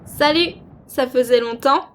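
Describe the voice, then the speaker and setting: A woman's voice: high voice, a little slow. A woman playing a confident, analytical female agent in a cartoon fan dub. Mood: teasing.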